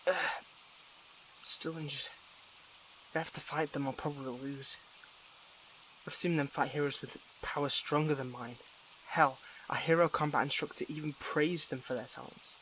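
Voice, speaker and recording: A weary but defiant young superhero , acted by a man, speaks in a slightly high male voice. His delivery is halting and brooding, as in an intimate audio drama addressed to one listener. A faint rain-like noise sits behind him. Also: steady tone, a bit bright, lightly acted, low-quality recording.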